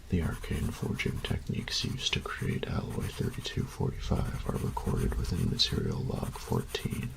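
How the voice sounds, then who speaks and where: Man playing a very deep, gravelly, meticulous artificer. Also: exaggerated voice, low-quality recording. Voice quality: gravelly, breathy low voice. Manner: formal, precise, clinical dictation. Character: meticulous, reclusive steampunk artificer dictating an experiment log. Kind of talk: scripted audio roleplay acting.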